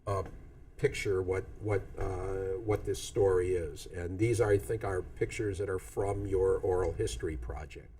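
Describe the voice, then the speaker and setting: A man hosting a studio interview show, his voice sitting a little low. He often restarts his questions and fills the pauses with "uh." A faint hiss of room noise sits under him. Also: fairly even intonation, mellow. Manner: halting, frequent restarts and fillers. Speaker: TV talk show host.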